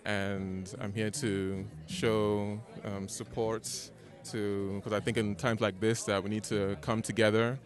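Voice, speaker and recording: A man attending a vigil, giving an earnest, halting, unscripted interview statement. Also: on the low side.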